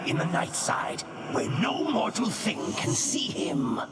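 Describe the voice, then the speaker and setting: An actor playing a sinister undead keeper of a hidden domain in a video game, with theatrical, archaic speech. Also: male voice, sits high, sing-song, slow pace, noisy background, full character voice. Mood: ominous. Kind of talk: video game character acting. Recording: loud background music.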